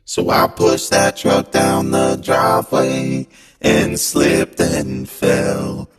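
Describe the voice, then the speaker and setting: A man tells a personal story in an extremely deep, effect-altered voice. His delivery is slow and crackles with gravel, while loud music plays behind him. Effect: pitch-lowering voice effect. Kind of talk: personal anecdote, slow and drawn-out. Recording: loud music over processed voice.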